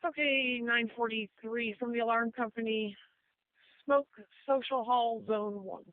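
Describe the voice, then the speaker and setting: A woman's voice: fairly high pitch, steady tone, measured pace, dry recording. A woman working as a county fire dispatcher, relaying and repeating details in a clipped, procedural way over radio. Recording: tinny narrowband emergency radio.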